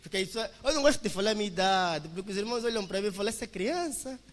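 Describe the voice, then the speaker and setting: A man's voice: sits high, lively intonation, rapid-fire, big-room sound. A young man in his twenties with an Angolan Portuguese accent, giving an emphatic motivational testimony to a church congregation. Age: 20s. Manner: emphatic, preacher-like, addresses listeners as brothers.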